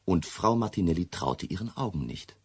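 An actor playing a worried, insistent English gentleman in a German radio crime drama, formal, earnest and husky. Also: sits low, lively intonation, normal speed. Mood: agitated, insistent.

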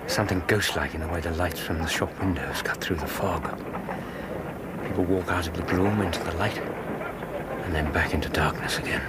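An actor playing an earnest, romantic English gentleman doctor in a radio drama. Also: low voice, normal speed, long pauses, heavy background noise, close to an everyday voice. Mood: wondering.

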